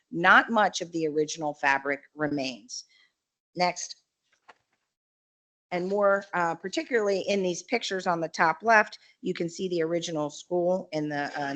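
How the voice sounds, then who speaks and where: A woman giving a measured, explanatory presentation at a public county meeting, with frequent fillers. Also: on the low side, fairly bright tone. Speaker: developer representative presenting a project.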